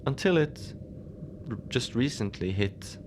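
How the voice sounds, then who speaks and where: A man playing a mild, unbothered middle-aged station manager in a cozy audio drama, confiding in a halting, rambling, understated way. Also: medium pitch, expressive, noisy background, lightly acted. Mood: musing, mild. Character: mild, unbothered lone Antarctic night-shift station manager. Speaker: podcast fiction narrator, lone facility manager on radio.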